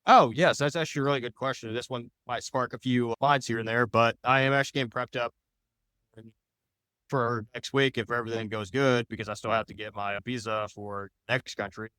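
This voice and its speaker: Clear-voiced man, rambling conversationally. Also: medium pitch, steady tone, brisk, pushed and bright, no room sound. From United States. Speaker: podcast guest, podcast creator. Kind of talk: conversational interview answers.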